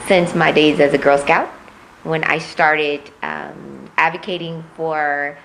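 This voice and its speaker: A middle-aged woman from Puerto Rico, raised in Missouri, answering interview questions as a political candidate, measured and reflective with frequent pauses. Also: low voice, flat delivery, creaky, fairly bright tone, a little hiss or hum, rough recording.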